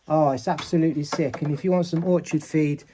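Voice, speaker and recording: A man's voice: on the high side, steady tone, a little fast, mellow, slight background noise. A man playing up a boastful YouTuber persona, touring his garage and giving test tips to pupils in a jokey, slangy way. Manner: slangy, boastful, jokey with repeated "innit" and "sick". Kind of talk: tongue-in-cheek mock-YouTuber garage tour and advice.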